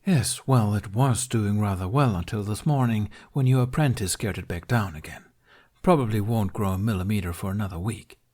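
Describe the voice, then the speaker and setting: A man's voice: lowish voice, moderate pace, darkish tone, breathy edge. A man reading the part of a kindly, wry older Jedi master in a story, with dry, teasing courtesy. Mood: dryly amused.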